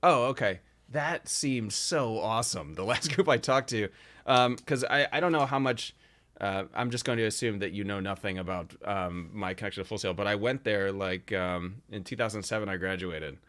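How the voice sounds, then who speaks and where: Low-voiced rambling male host. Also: normal speed, a bit bright. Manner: rambling, hesitant, lots of fillers. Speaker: podcast host. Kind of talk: casual chat.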